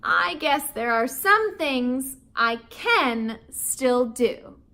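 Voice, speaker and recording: A woman voicing a grumpy older retired man who is slowly softening, with gruff, clipped lines. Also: high voice, lively intonation, full character voice.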